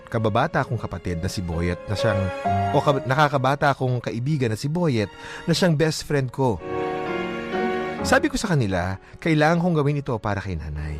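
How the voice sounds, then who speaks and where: A man reading a young provincial housemaid's life story in the first person, conversational, halting and expressive. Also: medium pitch, lightly acted. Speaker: story narrator. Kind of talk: dramatised letter narration, conversational. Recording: music behind the voice.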